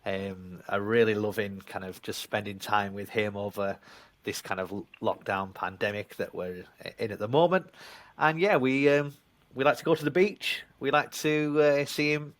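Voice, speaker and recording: A man in his thirties with a broad Yorkshire accent, chatting warmly and self-deprecatingly as a guest on a remote video call from home. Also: on the low side, normal speed. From England (Leeds). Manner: self-deprecating, animated storyteller. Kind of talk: casual interview chat.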